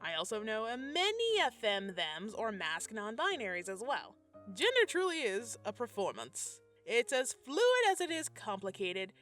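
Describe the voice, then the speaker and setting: A woman's voice: a little high, pushed and bright. A woman narrating a scripted video commentary in a sassy, conversational way, with slangy asides. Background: background music.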